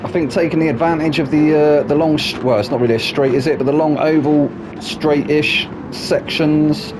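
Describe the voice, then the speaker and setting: Man, race commentator, snuffly nose, higher-pitched voice, car engine noise behind, chatty tag questions. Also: fairly even intonation, moderate pace. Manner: chatty, asks tag questions, drops low for asides. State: snuffly from hay fever. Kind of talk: live sim racing commentary.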